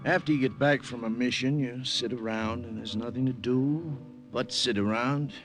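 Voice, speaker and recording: An actor playing a brash, restless American lieutenant and secret agent in a 1950s radio drama, slangy and wisecracking. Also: male voice, mid-range voice, moderate pace, music in the background, close to an everyday voice. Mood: wry, bored. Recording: old 1950s radio broadcast.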